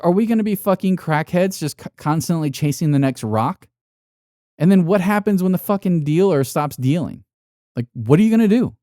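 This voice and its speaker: A man's voice: on the high side, warm tone, no room sound, clean studio sound. A man hosting a solo podcast in an unscripted monologue, ranting bluntly and emphatically with heavy swearing to motivate. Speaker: podcast host.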